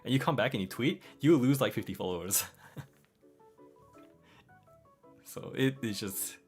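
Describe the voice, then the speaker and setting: A young man with a mixed Australian and American accent, chatting casually as a streamer reading viewer comments, relaxed and quick to laugh, over faint background music. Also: mid-range voice, breathy edge. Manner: relaxed, laughs often, trails off softly. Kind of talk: casual late-night chat reading comments.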